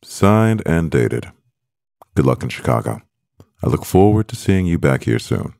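A man acting a composed, doting CEO boyfriend in an ASMR roleplay, speaking in a measured, gravelly voice. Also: very animated, a little mellow, dry recording, studio quality, full character voice. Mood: composed, businesslike. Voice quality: very deep, gravelly. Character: powerful male CEO boyfriend, composed, doting, gently teasing.

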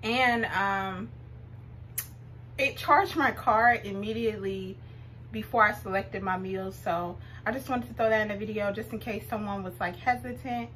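A woman's voice: medium pitch, a little slow, fairly bright tone, slight background noise, low-quality recording. A young adult woman talking informally and chattily, as in a casual cooking vlog. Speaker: vlogger.

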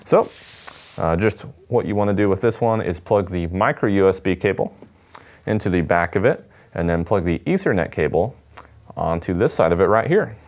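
Low-voiced young man, casual demonstrator. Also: expressive, normal speed, a little mellow. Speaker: product demonstrator. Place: indoor studio at a table.

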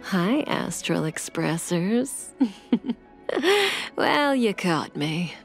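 An actress playing a calm, seductive female assassin in a video game, with a breathy, velvety voice and a languid, teasing delivery. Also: on the low side, very slow, exaggerated voice. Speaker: game character voice actor. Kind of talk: scripted video game character voice lines. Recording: clean studio voice over game music.

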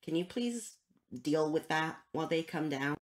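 A woman's voice: low-pitched, animated, normal speed. A woman playing an offhand park supervisor in a web cartoon. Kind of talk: animated web cartoon acting.

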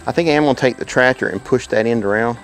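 A man's voice: mid-range voice, fast talker, faint music behind. A middle-aged man vlogging casually while working a sawmill outdoors, rambling and self-deprecating with frequent restarts. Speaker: homestead vlogger.

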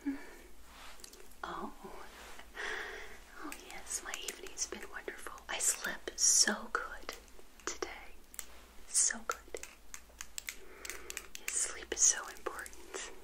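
Friendly witch, played by an older woman, ASMR roleplay: soft whisper, slow delivery, gentle and eager. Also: natural voice, poor audio. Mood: welcoming. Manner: gentle, unhurried, repeats phrases softly. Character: friendly, eager, naive lonely witch serving tea.

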